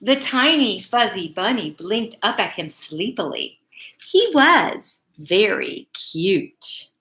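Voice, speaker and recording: Woman, children's bedtime story read-aloud, lively swooping intonation, slow pace, emphatic phrasing, talks to young listeners. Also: mid-range voice. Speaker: storyteller reading a picture book to children.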